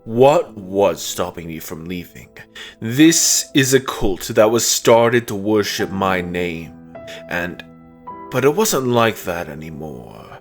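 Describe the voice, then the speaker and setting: Fallen deity, male actor: menacing, contemptuous, very unhurried delivery, deep-set voice, comic-dub drama over music. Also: fairly bright tone. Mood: bitter, defeated. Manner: slow, brooding, contemptuous monologue. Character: bitter fallen male god, menacing, imperious, brooding in mortal body. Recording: music under the voice.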